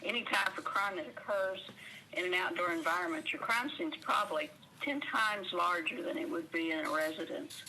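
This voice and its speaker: A woman's voice: lowish voice, animated, moderate pace, bright, forceful tone, slight background noise, poor audio. A woman playing a guarded, blunt park ranger investigator, answering interview questions matter-of-factly with an American accent.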